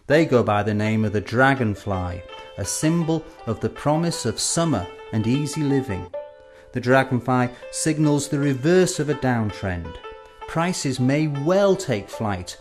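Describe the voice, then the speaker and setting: A man in his forties narrates an educational film at an average pitch. His delivery is scripted and measured, placing deliberate pauses for emphasis. Music plays behind his voice. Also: darkish tone. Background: background music.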